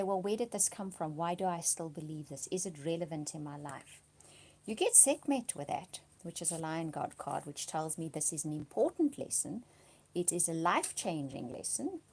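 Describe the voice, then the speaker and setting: A woman doing a monthly tarot reading, slightly hoarse from a cold, speaking softly and reassuringly, dropping to a whisper as she reads the cards. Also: on the low side. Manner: soft-spoken, often drops to a whisper reading cards; reassuring, often checks in with "okay?". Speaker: tarot card reader.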